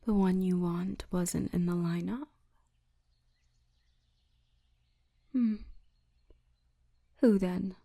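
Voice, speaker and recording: A woman voicing a big orc guardswoman, gentle, wry and commanding, in a hushed, breathy ASMR roleplay murmur. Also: lowish voice, slow, full character voice. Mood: formal, teasing.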